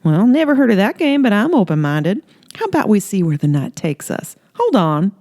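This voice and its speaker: A woman's voice: mid-range voice, very animated, no room sound, studio quality, voice pushed up. A woman voicing a sassy, brash trailer-park woman. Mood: flirty. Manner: sassy, bold, quick to yell.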